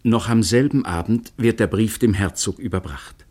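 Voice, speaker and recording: A man narrates a radio drama. His voice sits low. He reads in a measured, matter-of-fact manner. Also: a little mellow. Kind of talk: scripted narration.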